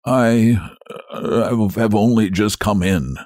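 A weary, sick country doctor from an old tale as a man narrator acts him. He mutters and grumbles with reluctant hesitation, his pitch moving freely, recorded very dry. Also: big pitch swings, slow, darkish tone, no room sound. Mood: weary, protesting. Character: exhausted, feverish, reluctant male country doctor.

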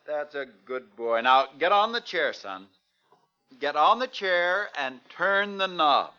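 A man's voice: on the high side, animated, slow pace, sharp tone, soft background music, exaggerated voice. An actor playing a young American father in a mid-century radio drama, firm and coaxing but tense and impatient. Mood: coaxing, insistent. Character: tense young father trying to stay calm. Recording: dull, noisy old radio recording with clicks.

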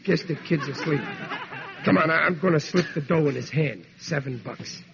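An actor playing a blustery, fretful middle-aged working-class father in a 1940s radio comedy, with excitable, ungrammatical, stammering speech. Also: on the high side, moderate pace, gravelly. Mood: sheepish, fond. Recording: dull old radio recording with noise.

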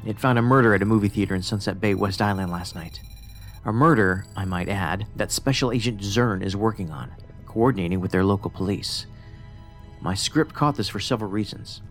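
An actor playing a pedantic, socially awkward federal investigator in a science fiction audio drama, speaking precisely and formally with a detached, musing manner. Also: male voice, lowish voice, brisk, fairly bright tone, lightly acted. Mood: matter-of-fact. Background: music under the voice.

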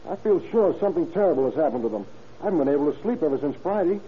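An actor playing an anxious young nephew, plaintive and earnest, in an old-time radio drama on a muffled, hissy recording. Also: male voice, on the high side, sing-song, rapid-fire.

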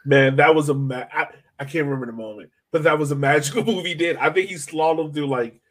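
A man's voice: a little high, fast talker. A man co-hosting a soccer podcast, chatting informally and with slang like a fan. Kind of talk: casual sports fan chat.

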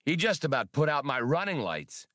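A cocky star pilot in a space adventure audiobook, acted by a male reader. He talks with dry, casual bite and lively swings of pitch, needling whoever he faces. The sound is close and dry. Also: moderate pace, no echo. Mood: indignant.

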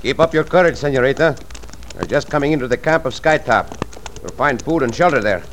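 An actor playing a courteous, confident Mexican cowboy adventurer in an old radio western, speaking formal English sprinkled with Spanish. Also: male voice, mid-range voice, brisk, fairly bright tone, lightly acted. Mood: gallant. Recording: hissy vintage radio recording.

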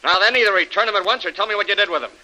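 Sharp-voiced ruthless radio serial villain. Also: male voice, high voice, very fast, low-quality recording. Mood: menacing. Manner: hard-boiled, sarcastic, blunt slangy talk. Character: ruthless, suspicious male villain, cold and menacing. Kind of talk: 1930s radio serial acting. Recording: vintage 1930s radio recording.

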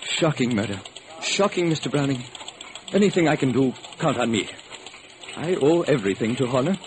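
A man's voice: mid-range voice, lively intonation, moderate pace, noisy background, lightly acted. An actor playing a shaken, earnest male head bookkeeper, eager to help, in a classic radio crime drama.

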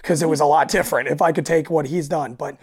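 Raspy young male musician from Delaware, animated guest who stumbles and restarts. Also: fairly high pitch, quick pace. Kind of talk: casual interview chat.

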